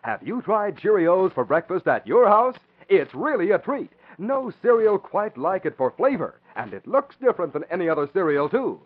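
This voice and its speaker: A man's voice: high voice, sing-song, normal speed, few pauses. A man working as a radio announcer in a scripted 1940s style, enthusiastic and emphatic. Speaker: announcer and narrator. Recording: tinny, lo-fi old radio recording.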